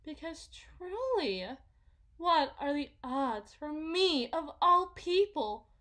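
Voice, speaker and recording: Woman performing a delusional yandere mother, fairly high voice, very slow deliberate pacing, possessive, patronizing, theatrical ASMR monologue. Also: big pitch swings, a bit bright, exaggerated voice. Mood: incredulous, accusing. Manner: theatrical, drawn-out, deliberate phrasing. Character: delusional, possessive, condescending wealthy mother, obsessively doting. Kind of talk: ASMR roleplay, dramatic monologue.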